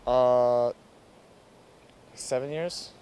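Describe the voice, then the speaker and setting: A man's voice: mid-range voice, steady tone, extremely slow, warm tone, clean tone. An American man chatting casually and telling personal stories as an interviewee. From United States. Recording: faint street traffic noise.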